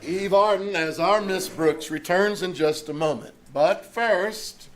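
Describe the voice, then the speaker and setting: A man's voice: high voice, takes it slowly. A man playing a polished 1950s radio announcer reading scripted sponsor copy in a live stage show. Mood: polished, selling. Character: smooth 1950 radio announcer pitching shampoo. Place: small-town theater stage, performed live.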